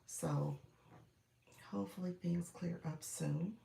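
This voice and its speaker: A woman with a cold speaks in a low, gravelly, froggy voice, congested and clearing her throat now and then. She chats casually with live viewers as a streaming coach, repeating her welcomes and filling pauses. Her voice carries a roomy echo. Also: warm tone, a little hiss or hum. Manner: chatty, repetitive welcomes with frequent fillers and throat-clearing.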